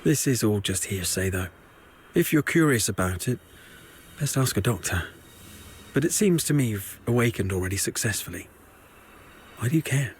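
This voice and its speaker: An actor playing a nervy, talkative foot soldier in a video game, confiding and evasive in a conversational way. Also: male voice, on the low side, lively intonation, moderate pace, long pauses, a little hiss or hum, lightly acted. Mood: hesitant, wary. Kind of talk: video game character dialogue.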